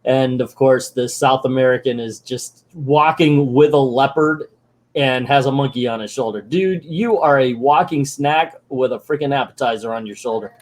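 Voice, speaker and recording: A man's voice: medium pitch, normal speed. A man reviewing a comic book who reads it aloud and breaks off with wry, sarcastic asides in a casual manner.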